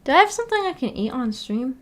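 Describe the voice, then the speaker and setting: Higher-pitched woman, casual streamer chat. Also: sing-song, fast. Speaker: livestream host. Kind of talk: casual livestream chat about a visual novel.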